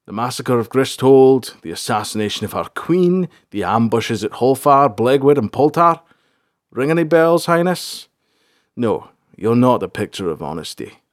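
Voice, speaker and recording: A man's voice: medium pitch, expressive, moderate pace, dry recording, clean studio sound, voice pushed up, natural voice. A man voicing a blunt, sardonic sergeant of a band of fantasy soldiers, talking in colloquial speech. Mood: blunt accusing. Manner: blunt, colloquial, dryly sarcastic.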